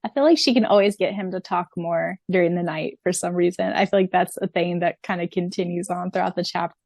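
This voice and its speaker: A woman's voice: on the low side, fairly even intonation, a little fast, gravelly, darkish tone, no echo, clean studio sound. A woman co-hosting a podcast, chatting casually and musing as she talks. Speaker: podcast co-host. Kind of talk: casual chat.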